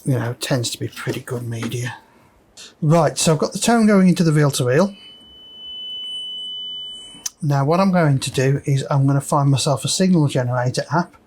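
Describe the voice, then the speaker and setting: A man's voice: medium pitch, lively intonation, normal speed, long pauses, a little mellow, a little hiss or hum. Man casually talking through a repair. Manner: hesitant, thinking aloud while working. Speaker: host of a repair video. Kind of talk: casual unscripted workshop walkthrough.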